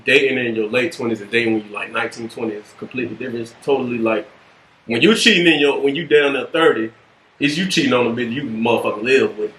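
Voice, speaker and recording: Man, late twenties, relaxed slangy talk, mid pitch, roomy echo, faint hiss. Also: fast. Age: 20s. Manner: laid-back, slangy, reflective. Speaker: podcast co-host. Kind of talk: casual podcast discussion.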